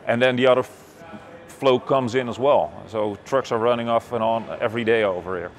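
A man's voice: mid-range voice, a little fast. A middle-aged man with a Dutch accent, a native Dutch speaker, giving a factory tour and answering questions with many fillers and restarts. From Netherlands. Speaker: company executive. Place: warehouse then glass-walled office.

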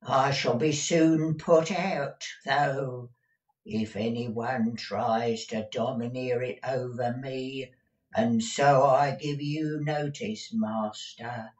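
A man voicing a deaf, blunt, cantankerous old housekeeper woman in a storybook reading, with drawn-out querulous rustic speech. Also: medium pitch, dull audio, pitched above the performer's own voice, close to an everyday voice. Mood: threatening. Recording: distant, echoey room recording.

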